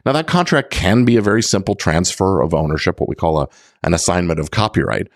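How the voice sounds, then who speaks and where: A man's voice: sits low, expressive, fast talker, no echo, clean studio sound. A middle-aged man hosting a podcast, explaining things step by step to listeners with emphasis on key words. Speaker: podcast host; lawyer.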